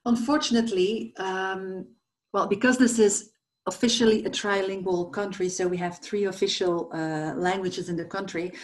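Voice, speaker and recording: A woman's voice: mid-range voice, fairly even intonation, normal speed. Flemish woman guest, thoughtful and hesitant. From Belgium, Flanders. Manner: thoughtful, many fillers, light self-deprecating laughs. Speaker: guest, translator. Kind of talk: casual interview chat.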